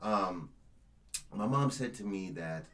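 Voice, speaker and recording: A man's voice: low voice, animated, takes it slowly, a little hiss or hum. A young man from Cameroon, a guest on an interview show, telling a story in a loose, rambling way, often with "you know" and "right?". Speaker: interview guest, media creator. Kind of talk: casual storytelling chat.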